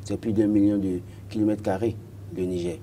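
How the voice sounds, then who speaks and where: Assertive Beninese man, low dark voice. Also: level tone, a little fast, a little hiss or hum. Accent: Beninese French. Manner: assertive, argumentative, stutters on repeated words. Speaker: political commentator on a panel. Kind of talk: TV panel debate commentary.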